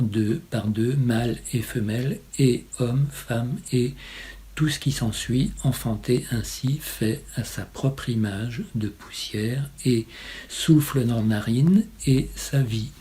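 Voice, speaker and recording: A man reciting poetry in a solemn style, with measured, incantatory phrasing and short pauses. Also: medium pitch, warm tone, slight background noise. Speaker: poet reading own poem.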